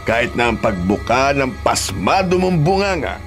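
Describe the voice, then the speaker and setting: A man's voice: mid-range voice, sing-song, moderate pace, a bit bright, soft background music. An actor in a Filipino radio drama playing a menacing, mocking middle-aged surgeon father, taunting and theatrical, mixing Tagalog and English. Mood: gloating, taunting.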